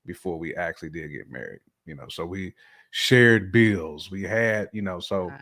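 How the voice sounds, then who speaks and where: A man's voice: low voice, lively intonation, normal speed, fairly bright tone. A man talking casually as a podcast guest, in a colloquial, slangy way with frequent check-in phrases. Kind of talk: casual chat, telling personal views.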